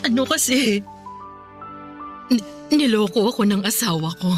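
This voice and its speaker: Timid, wounded Filipino wife, radio drama, slow halting delivery, faint music behind. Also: female voice, a little high, expressive. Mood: upset, pleading. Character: hesitant, wounded, shy married woman.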